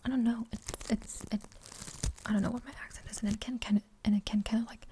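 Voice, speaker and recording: A woman's voice: a little high, fairly even intonation, fast, warm tone, slight background noise. A young woman from Germany with a light German accent, whispering a rambling, hesitant ASMR question-and-answer chat. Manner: rambling, hesitant, many fillers. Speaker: ASMR creator.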